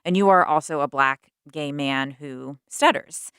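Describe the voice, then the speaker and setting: Low-pitched female host, dry close studio sound, thoughtful interviewer. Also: a little slow, a bit bright, smooth, dry recording. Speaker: podcast host. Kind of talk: interviewing and narrating.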